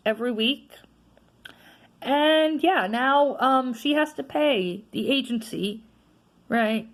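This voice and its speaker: A woman vlogger with a naturally loud voice, venting in a casual, frustrated rant that is full of tag questions. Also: mid-range voice. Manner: frustrated, ranting, frequent "right?" tags.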